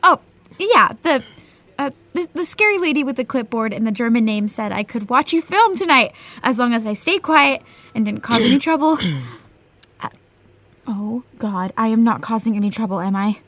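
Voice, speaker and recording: An awkward, eager teenage fangirl in an audio drama. Her voice is high and bright, swooping around a lot. She stammers her way along in a flustered, halting manner. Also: lively intonation. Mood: nervous, flustered. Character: starstruck, awkward teenage girl fan, eager and anxious. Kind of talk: naturalistic audio drama dialogue.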